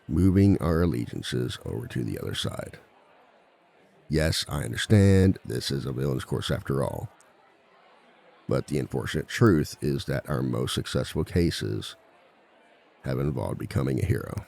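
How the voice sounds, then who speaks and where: A man playing a reformed villain who lectures at a seminar on romance in a measured, articulate, formal and dryly self-assured way. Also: very deep voice, sing-song, gravelly, a little mellow, full character voice. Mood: measured, matter-of-fact. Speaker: seminar speaker and relationship expert. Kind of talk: scripted comedic lecture roleplay.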